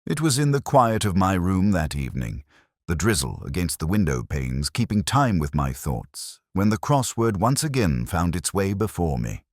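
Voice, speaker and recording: A man narrating a scripted first-person detective story in a solemn, literary, measured manner. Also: deep voice, very animated, dry recording, clean studio sound. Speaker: audio drama narrator.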